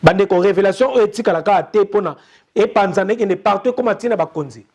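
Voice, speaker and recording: Impassioned young Congolese man, high voice. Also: fast talker, a little mellow, husky, lo-fi sound. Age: young adult. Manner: urgent, insistent, repetitive appeals. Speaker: news commentator. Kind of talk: impassioned commentary.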